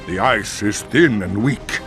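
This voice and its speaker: Slow, gravelly armoured bear. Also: male voice, on the low side. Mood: grave, protective. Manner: solemn, formal, measured. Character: grave, noble, protective male armoured polar bear. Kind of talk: video game voice acting. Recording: loud music over the voice.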